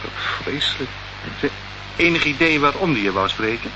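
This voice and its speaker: An actor playing a concerned, inquisitive associate of a private investigator in a radio play, conversational and a little hesitant. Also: male voice, mid-range voice, animated, moderate pace, close to an everyday voice. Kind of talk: Dutch radio play acting. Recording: muffled, noisy old radio recording.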